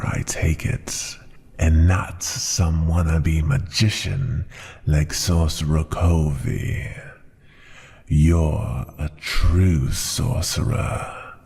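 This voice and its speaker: An extremely deep, crackling dragon tyrant for an ASMR fantasy roleplay. The creature speaks very slowly and deliberately, often dropping into a breathy whisper. It sounds proud, cruel and menacing, a ruler who looks down on every listener. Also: male voice, darkish tone, heavily acted. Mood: haughty, probing. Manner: slow, deliberate, haughty and menacing.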